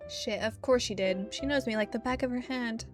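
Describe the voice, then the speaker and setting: A woman's voice: on the high side, moderate pace, music in the background, natural voice. A woman reading the part of a flustered, lovestruck young man in a visual novel, self-conscious and sighing. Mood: defensive. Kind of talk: expressive read-aloud of visual novel text.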